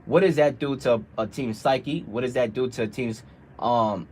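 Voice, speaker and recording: A man's voice: mid-range voice, moderate pace, a little mellow, a little hiss or hum. A man hosting a casual sports debate, making his points emphatically with repeated rhetorical questions. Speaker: radio show host.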